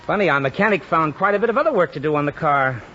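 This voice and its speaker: A breezy, evasive garage man speaks very high for a man, with lots of up and down, matter-of-fact as he goes. The vintage radio comedy recording is dull and noisy. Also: lively intonation, fast talker, fairly bright tone. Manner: matter-of-fact, rattling off repairs. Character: breezy, evasive car repair shop man. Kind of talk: old-time radio sitcom acting.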